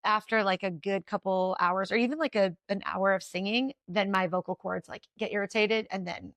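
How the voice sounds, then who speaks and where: A woman in her thirties from Connecticut with a New England accent and a raspy voice, talking quickly as a guest in a casual interview. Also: mid-range voice, steady tone, fairly bright tone, dry recording. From Connecticut, USA. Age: 30s. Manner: fast-talking, says "like" often. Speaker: podcast guest.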